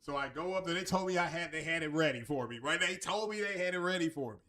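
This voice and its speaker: Guy with a cold, raspy, kinda high voice, rambling stream chat. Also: quick pace, sharp tone. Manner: rambling, chatty, loose restarts. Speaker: tech channel host. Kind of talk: casual live-stream chat.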